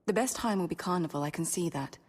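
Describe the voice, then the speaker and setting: Rebellious novice, sixteen, radio drama: husky-breathy, confiding, heavily reverberant sound. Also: female voice, fairly low pitch, fast, darkish tone. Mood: secretive excitement. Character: rebellious, scheming sixteen-year-old novice girl. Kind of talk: radio drama acting and inner monologue.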